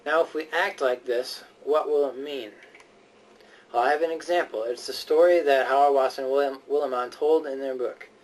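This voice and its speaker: A man's voice: mid-range voice, fairly even intonation, moderate pace. A man delivering a persuasive, sermon-like speech in an earnest, emphatic and often hesitant way. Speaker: speaker giving a prepared speech. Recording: voice distant from the microphone.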